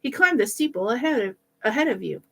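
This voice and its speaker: A woman's voice: fairly high pitch, expressive, fast talker, gravelly, pushed and bright, slightly rough, exaggerated voice. A woman voicing a fretful, indignant sexton's wife in a fairy tale. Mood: anxious. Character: anxious, outraged wife of a village sexton.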